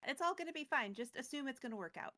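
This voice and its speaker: A woman with a slightly high voice retells a story as co-host of a recap show. She is casual and chatty. Her asides are playful and wry. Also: expressive, fast talker, sharp tone.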